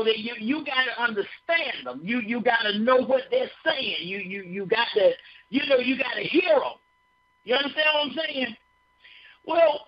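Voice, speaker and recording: A woman giving a conversational sermon on a broadcast, folksy and full of repeated phrases, often checking in with listeners. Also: a little high. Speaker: radio speaker on behavior. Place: radio studio. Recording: dull lo-fi broadcast sound.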